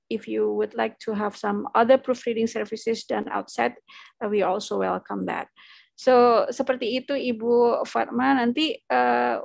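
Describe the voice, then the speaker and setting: A middle-aged woman with an Indonesian accent gives paper reviews over a video call headset, switching between English and Indonesian and pausing often with "uh". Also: medium pitch, vocal fry, dry recording. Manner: mixes English and Indonesian, many "uh" fillers. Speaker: journal editor reviewing papers at an online lecture. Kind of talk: giving paper review feedback.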